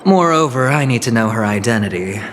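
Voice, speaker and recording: A man voicing a smooth, scheming young male gambler in a game, playful and calculating. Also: medium pitch, animated, a little fast, noisy background, lightly acted. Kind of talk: game voice acting. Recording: game audio with music behind.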